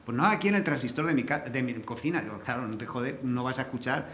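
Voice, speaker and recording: A man's voice: medium pitch, quick pace, a bit bright. A man with a Castilian accent from Spain, talking informally on a panel as a sound designer, colloquial and loose with swearing. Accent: Spanish from Spain. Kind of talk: informal panel talk with anecdotes. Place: indoor forum venue. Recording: echoey room, faint background noise.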